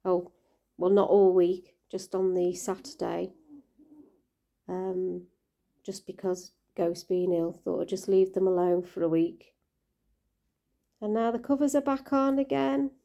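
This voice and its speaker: A woman with a croaky voice, gently talking through caring for her koi in a casual vlog. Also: lowish voice, fairly even intonation, measured pace. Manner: gentle, caring, chatty with fillers. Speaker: koi keeper vlogger.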